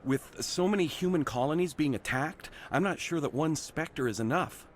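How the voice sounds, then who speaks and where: Man playing an eager starstruck fan, lively voice. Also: fairly high pitch, moderate pace, a little hiss or hum, close to an everyday voice. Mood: pleading. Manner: gushing, earnest. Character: eager, starstruck male fan of a space hero. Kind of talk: video game voice acting.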